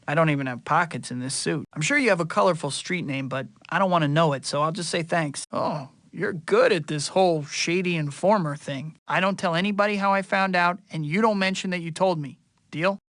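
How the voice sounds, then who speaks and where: An actor voices a young American male superhero for a video game. His voice sits high and forward with a crisp, bright edge, recorded very dry. He tosses out cocky, friendly wisecracks in a boyish, conversational way. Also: a little fast, dry recording, natural voice. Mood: wry, bantering. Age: young adult. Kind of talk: video game voice lines, short quips.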